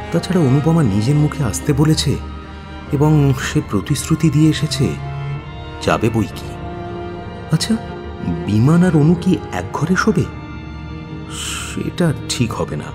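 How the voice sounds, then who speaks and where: A man narrating a scripted audio story in a dramatic style. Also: a little high. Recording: long studio reverb, music bed. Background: faint music.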